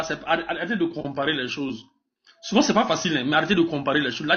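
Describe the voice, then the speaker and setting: A Burkinabé man debates politics at a very quick pace, in a slightly high voice. He speaks emphatically, repeats himself and trips over words. The live stream sound is dull. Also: a bit bright. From Burkina Faso. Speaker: debate participant.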